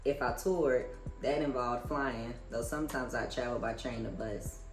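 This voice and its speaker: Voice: mid-range voice, normal speed, echoey room, over music, low-quality recording. An American reader with an informal, conversational manner, reading books aloud and adding casual commentary. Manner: informal, conversational asides between read passages. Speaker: book reader and reviewer hosting a read-aloud.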